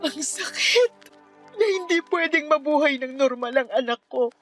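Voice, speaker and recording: A despairing, sleepless young mother in a Filipino radio drama. Her Filipino-accented woman's voice sits high and swings between sullen withdrawal and raw pleading. Faint music runs behind her. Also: a little slow, a bit bright. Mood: tearful, anguished. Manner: curt and sullen, breaking into tearful pleading.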